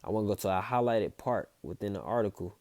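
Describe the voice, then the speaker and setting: Man, street-preacher talk, mellow tone, dry close sound. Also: on the low side, quick pace, no echo. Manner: casual, repeats himself, tags lines with "man" and "okay". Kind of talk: informal scripture teaching.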